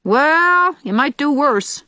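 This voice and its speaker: A man's voice: very high voice, big pitch swings, normal speed, fairly bright tone, no echo, voice pushed up, heavily acted. A woman voicing a solemn, stubborn young farmhand with abrupt, folksy rustic speech in an audiobook. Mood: stubborn, persuasive. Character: lanky, solemn young country farmhand, blunt and stubborn suitor. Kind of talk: audiobook character voice.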